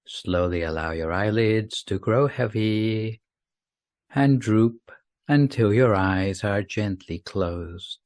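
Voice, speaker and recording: A man with a fairly low voice guides a sleep meditation. He speaks very slowly and gently, leaving long pauses between soothing phrases. The sound is dry and close, with very little room. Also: no echo.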